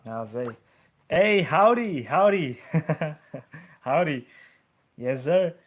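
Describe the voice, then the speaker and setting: A man's voice: on the high side, lively intonation, very slow. Laid-back young man chatting on a live stream. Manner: laid-back slang, calls listeners bro. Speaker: live-stream host answering viewer questions. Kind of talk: casual live-stream chat.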